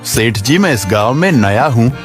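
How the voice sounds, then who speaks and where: A man's voice: mid-range voice, lively intonation, moderate pace, music in the background, lightly acted. A man playing a humble, honest village labourer in a cartoon moral story, speaking politely and earnestly. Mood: humble, pleading. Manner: deferential, earnest.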